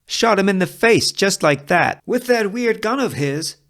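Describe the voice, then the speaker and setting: A man's voice: sits high, lively intonation, unhurried, fairly bright tone, pitched above the performer's own voice, full character voice. A man playing a shifty, whiny Wild West accuser with an agitated, pleading manner. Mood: sneering.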